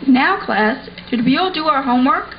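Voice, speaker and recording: A woman's voice: sits high, lively intonation, moderate pace. A woman playing an encouraging, orderly schoolteacher addressing her class in a scripted puppet show. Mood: instructing. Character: encouraging, orderly female primary school teacher. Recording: dull lo-fi sound with faint noise.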